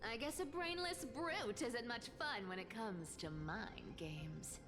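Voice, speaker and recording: A woman's voice: on the high side, slow. A woman voicing a cocky young female thief in a video game, a defiant trickster with a taunting, theatrical delivery. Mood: mocking. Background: game music.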